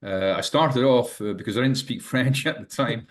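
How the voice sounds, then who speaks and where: A man's voice: medium pitch, moderate pace. A Scottish man in his early sixties, a management teacher and author, talking casually as an interview guest, hesitant with many fillers and restarts. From Scotland. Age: 60s.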